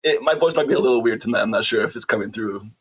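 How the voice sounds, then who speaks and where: A hoarse man, a player on the stream, chatting casually and laughing at his own jokes. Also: lowish voice, very fast, a bit bright. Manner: giggly, self-mocking. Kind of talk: casual chat.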